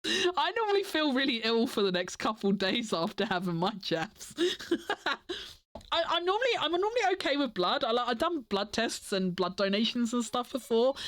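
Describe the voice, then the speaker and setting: A woman's voice: fairly high pitch, no room sound. A woman streamer chatting casually to her viewers, stuffy and nasal from a cold, rambling and giggling often. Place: home desk. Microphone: desk mic.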